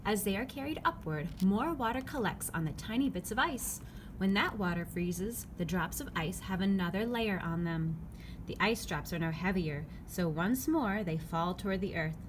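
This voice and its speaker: A woman reading a children's picture book aloud, phrasing it clearly and simply for young listeners. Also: mid-range voice, expressive, moderate pace. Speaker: read-aloud narrator. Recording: clearly noisy background ambience.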